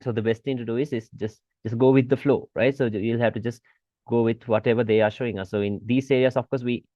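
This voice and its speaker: A man's voice: mid-range voice, steady tone, quick pace, warm tone, no room sound. Man teaching informally, slightly muffled dry recording. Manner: repetitive, checks understanding with rhetorical questions. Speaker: forex trading instructor. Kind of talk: informal screen-share tutorial commentary.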